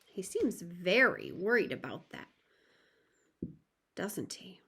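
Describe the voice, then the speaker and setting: A woman's voice: on the low side, huge pitch swings, slow pace, vocal fry, fairly bright tone, slightly rough. A woman reading a children's story aloud expressively, with asides to the listener.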